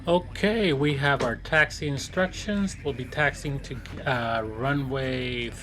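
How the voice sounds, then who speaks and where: A man casually narrating his simulated flight, relaxed, with many fillers and restarts. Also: medium pitch, takes it slowly. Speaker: flight sim streamer. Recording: music playing behind.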